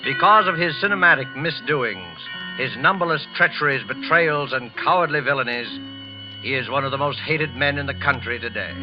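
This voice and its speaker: A man's voice: medium pitch, animated, lightly acted. Guy as sinister formal radio host, theatrical old 1940s announcer, music behind, muffled sound. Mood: ominous, suave. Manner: measured, suave, theatrical. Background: dramatic music.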